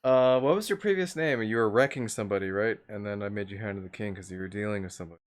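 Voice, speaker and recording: A man's voice: lowish voice, fast. A man talking casually in a voice chat, blunt and given to swearing.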